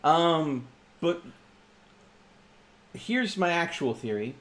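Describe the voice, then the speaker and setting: A man's voice: a little high, expressive, very slow, a bit bright. A man talking casually as a player in a tabletop roleplaying game. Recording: clearly noisy background.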